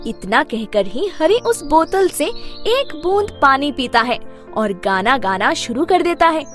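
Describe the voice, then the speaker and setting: A woman's voice: high voice, very animated, measured pace. A woman narrating a scripted children's story as its storyteller. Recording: loud music under the voice.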